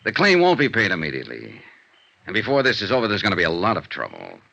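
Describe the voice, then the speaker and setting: A bright, punchy American radio detective. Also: male voice, fairly low pitch, huge pitch swings, quick pace, gravelly, full character voice. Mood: firm, challenging. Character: sharp, confident male insurance investigator narrating his case. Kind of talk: 1950s American radio detective drama, hard-boiled narration. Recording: dull vintage radio recording with hiss.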